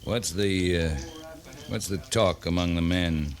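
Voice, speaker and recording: An actor playing a firm, terse American cavalry captain in a 1950s Western radio drama. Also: male voice, deep voice, steady tone, slow. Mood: quiet, probing. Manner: authoritative, terse. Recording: noisy 1950s radio recording.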